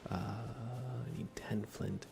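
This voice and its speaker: A soft-spoken man rambling through relaxed ASMR live gaming commentary, musing aloud hesitantly and often slipping into a whisper. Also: on the low side, slow pace, vocal fry. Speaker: livestream game streamer.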